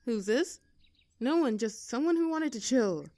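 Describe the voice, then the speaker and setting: A woman's voice: fairly high pitch, animated, unhurried, mellow, no room sound. A young woman playing a rebellious teenage girl bully in an audio roleplay, with a sarcastic, teasing, brash manner. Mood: sarcastic defiance. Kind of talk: scripted roleplay audio drama.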